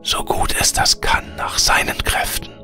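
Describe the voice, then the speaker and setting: A gentle, kindly male air spirit from a storybook, as a narrator puts him on. Every word comes as a soft, unvoiced whisper, hushed and a little distant. Faint music drifts behind. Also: unhurried.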